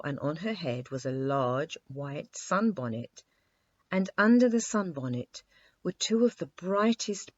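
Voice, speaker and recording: Casting: female children's audiobook narrator, lowish voice, very expressive intonation, scripted storytelling. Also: slightly rough, no echo, highs cut off.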